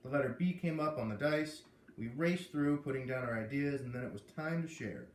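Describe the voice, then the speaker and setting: A man reading a children's novel aloud in an expressive storytelling way. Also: medium pitch, brisk, slight background noise. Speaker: read-aloud reader. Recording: echoey room.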